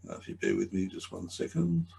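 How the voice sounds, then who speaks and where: A middle-aged man giving a webinar talk over a video call, slightly croaky, hesitant, often repeating his words. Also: low voice, big pitch swings, fairly quick, a little mellow. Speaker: webinar presenter. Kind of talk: conversational presentation.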